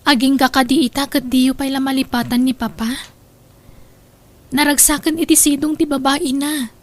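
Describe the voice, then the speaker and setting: A woman plays a hurt, resentful daughter in a radio drama. Her voice is high, and she speaks with plaintive emphasis. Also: fairly even intonation, normal speed, lightly acted. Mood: hurt, bitter. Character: hurt, resentful young daughter of an abandoned mother. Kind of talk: Filipino radio drama acting.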